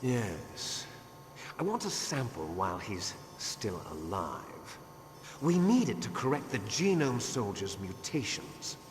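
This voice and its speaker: A man's voice: fairly low pitch, big pitch swings, slow, noisy background. An actor playing an imperious, menacing male terrorist commander in a video game, with a theatrical, taunting delivery. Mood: grim, commanding. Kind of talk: dramatic video game voice acting. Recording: long hall-like reverb. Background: music.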